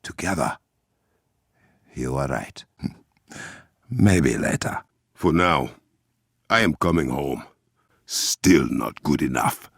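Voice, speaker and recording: An actor playing a proud, resolute warrior king in a video game, with an African accent and formal, measured, regal diction. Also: very deep voice, expressive, vocal fry, dry recording, studio quality, full character voice. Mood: grave, composed. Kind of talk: dramatic video game voice acting.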